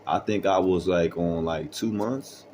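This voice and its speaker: A young man with a very deep voice tells a story in casual slang, rambling with lots of fillers. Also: a little slow, a little mellow, a little hiss or hum. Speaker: vlogger.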